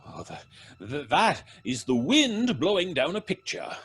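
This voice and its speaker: A blustering, sceptical gentleman in a vintage radio drama. He is husky and rather high, stammering with dry pomposity and huge swings of pitch. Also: big pitch swings, unhurried, full character voice. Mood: scornful. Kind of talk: old British radio horror drama acting.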